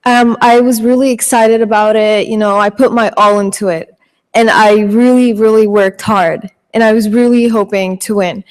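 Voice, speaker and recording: A teenage girl telling a personal story on stage, hesitant and filling pauses with ums. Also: medium pitch, steady tone. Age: teens. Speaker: student panelist. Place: large auditorium stage. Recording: long hall reverb.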